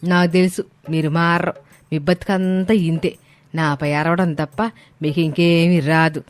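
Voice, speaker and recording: Nagging, bitter Telugu mother-in-law played by a woman, lowish voice. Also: level tone, a little slow, lightly acted. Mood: bitter complaining. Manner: scolding, complaining, sarcastic. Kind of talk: animated moral story dialogue.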